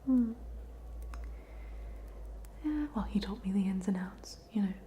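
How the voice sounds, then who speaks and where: A woman's voice: medium pitch, animated, a little slow, slight background noise, lightly acted. A woman playing a polite, chatty ghost of a drowned student in a whispered, hesitant ASMR roleplay. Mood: gentle, friendly. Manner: whispered, hesitant, gently rambling.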